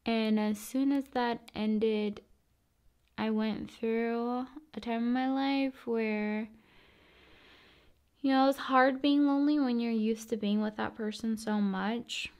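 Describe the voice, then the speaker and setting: A woman speaking softly and often in a whisper, in an intimate, soothing ASMR style addressed to the viewer. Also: a little high, fairly even intonation, takes it slowly, clean tone. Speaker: ASMR video host.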